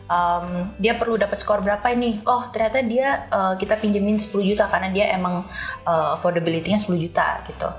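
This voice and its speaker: A woman's voice: medium pitch, a little fast. A woman explains her work casually in an interview, using examples and dropping in English terms. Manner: explains with examples and analogies, informal. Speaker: guest, senior data scientist. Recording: roomy echo, faint music behind.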